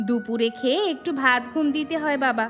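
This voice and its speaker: Woman as a high-voiced, nagging cartoon housewife. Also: music in the background, close to an everyday voice. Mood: coaxing, exasperated. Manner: nagging, quick to retort. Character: sharp-tongued, touchy young housewife and mother. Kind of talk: Bengali cartoon story acting.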